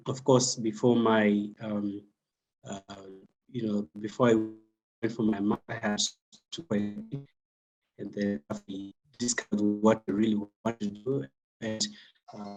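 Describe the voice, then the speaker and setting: A man from Zambia, a doctoral candidate, speaking as a panel guest on a video call in a halting way. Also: lowish voice, steady tone, a little mellow, highs cut off, soft background music. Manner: halting, frequent "uh" and "um".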